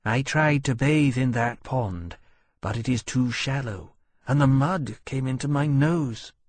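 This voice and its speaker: Man voicing a gentle, earnest young dragon, gravelly. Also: fairly high pitch, expressive, a little slow, no room sound, pitched above the performer's own voice. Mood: apologetic. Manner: earnest, polite, innocent.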